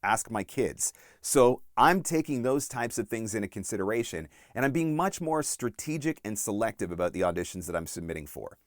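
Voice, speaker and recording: A man in his forties giving instructional talk as a coach and host, deliberate and emphatic on key points. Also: on the low side, expressive, no room sound, clean studio sound. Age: 40s. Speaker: voice-over coach and channel host.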